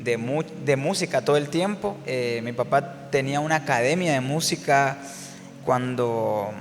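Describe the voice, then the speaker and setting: A man from Guatemala, a worship singer telling his story in a relaxed interview, reflective and full of fillers and restarts. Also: medium pitch, moderate pace, in a large hall, music in the background.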